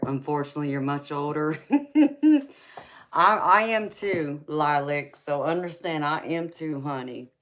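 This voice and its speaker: A woman in her thirties, with a very deep, low voice, unhurried and relaxed as she reads viewer comments aloud. She laughs often and calls people honey, and a faint hiss sits under her voice. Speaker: tarot reader chatting with live viewers. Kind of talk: casual live-stream chat reading comments.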